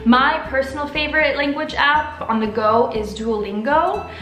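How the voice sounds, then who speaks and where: A young American woman vlogging to camera from her living room, upbeat, expressive and chatty. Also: sits high, normal speed, roomy sound, faint music behind. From United States. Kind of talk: casual to-camera vlog. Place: living room with sofa, indoors.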